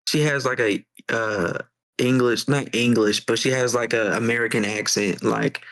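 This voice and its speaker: Brief: young male musician guest, twenties, relaxed slangy podcast talk, average pitch. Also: normal speed. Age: 20s. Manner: laid-back slang, says bro a lot. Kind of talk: casual podcast chat.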